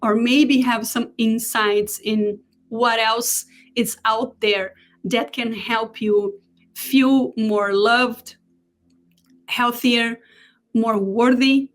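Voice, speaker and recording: A woman in her forties from Brazil with a Brazilian Portuguese accent, giving an earnest live online talk as a holistic therapist and pausing often to check her notes. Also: fairly high pitch, steady tone.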